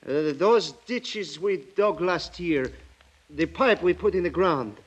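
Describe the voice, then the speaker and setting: Loyal plantation worker, high voice, very slow hesitant speech, earnest, old radio drama. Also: male voice, lo-fi sound. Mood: anxious, respectful. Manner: hesitant, deferential, deliberate. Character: humble, loyal male plantation foreman, earnest and steadfast. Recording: vintage radio recording.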